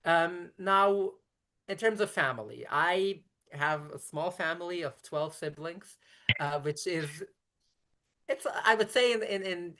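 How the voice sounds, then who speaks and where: A woman rabbi in her thirties from brooklyn, yiddish first language, talks fast in long explaining runs, keeps restarting and fixing her words mid-sentence. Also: fairly low pitch, pushed and bright. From Brooklyn, New York. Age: 30s. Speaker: rabbi, interview guest. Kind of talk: conversational interview.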